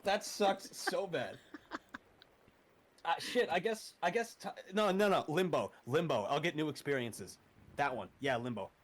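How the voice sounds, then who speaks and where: A young man chatting casually as an interview guest, relaxed and laughing easily, with frequent swearing. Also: fairly high pitch, a little slow. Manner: laid-back, swears often, laughs a lot. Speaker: interview guest, horror narrator.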